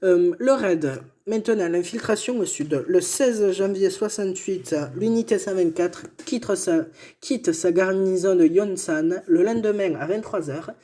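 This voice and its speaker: A man's voice: sits high, a little slow, warm tone. A man casually reading history notes aloud as co-host, stumbling, correcting himself and joking aside.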